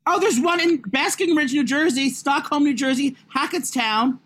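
A man with a high voice chatting as a podcast co-host, crude, rambling and self-mocking. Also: normal speed, a bit bright. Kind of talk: casual chat.

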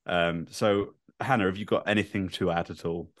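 A man's voice: low-pitched, steady tone, normal speed. A young man co-hosting a podcast, talking earnestly and a little nervously to his audience. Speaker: podcast co-host. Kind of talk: casual podcast chat.

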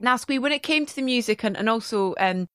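A woman's voice: fairly high pitch, brisk, fairly bright tone, no room sound, clean studio sound. A Scottish woman hosting a podcast interview, asking long, rambling, enthusiastic questions. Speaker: podcast host.

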